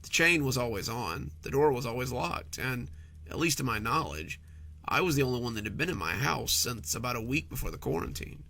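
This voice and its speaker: A man's voice: mid-range voice, lively intonation, brisk, vocal fry, pushed and bright. A man reading a scripted first-person horror story in a conversational storytelling manner.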